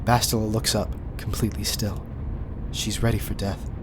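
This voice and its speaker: A man's voice: lowish voice, little pitch movement, normal speed, background noise. A man narrating a scripted audio drama, reading scene directions in a dramatic manner.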